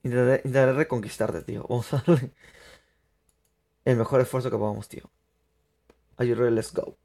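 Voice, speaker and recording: A young man from Peru, chatting excitably to viewers through live gameplay commentary. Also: mid-range voice, fairly quick, gravelly. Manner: chatty, excitable, laughs often, addresses viewers. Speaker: streamer.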